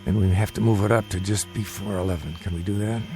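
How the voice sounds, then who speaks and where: An actor in a radio drama playing a calm, careful married father who is secretly plotting, with a guarded manner. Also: fairly low pitch, quick pace, faint music behind, natural voice. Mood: guarded, secretive.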